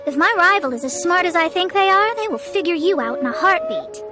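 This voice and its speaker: A woman's voice: extremely high pitch, animated, a little fast. A woman voicing a proud young female astrologer in an anime game, formal and self-important. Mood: haughty. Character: proud young female astrologer, self-styled master, haughty yet flustered. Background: game music.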